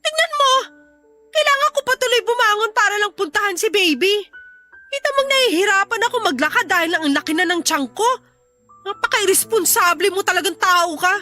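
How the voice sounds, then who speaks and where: A nagging pregnant filipino wife, radio drama, super high sharp voice, totally fed up. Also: female voice, lively intonation, brisk, soft background music, heavily acted. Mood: scolding. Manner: scolding, exasperated, melodramatic.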